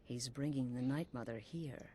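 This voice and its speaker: Cool assassin leader. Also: female voice, rumbling low, moderate pace, slight background noise. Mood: matter-of-fact. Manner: calm, dry, matter-of-fact briefing. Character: cool-headed woman leading a band of assassins. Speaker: assassin guild leader giving orders. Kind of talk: video game character dialogue.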